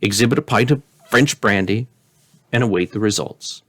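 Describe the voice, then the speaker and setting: A man's voice: on the low side, normal speed, a bit bright, no room sound. A man playing a pompous, stubborn materialist philosopher. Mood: pompous, certain. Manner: pompous, sententious, emphatic. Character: slow, sententious, stubborn male materialist philosopher, pompously certain. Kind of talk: storybook character reading.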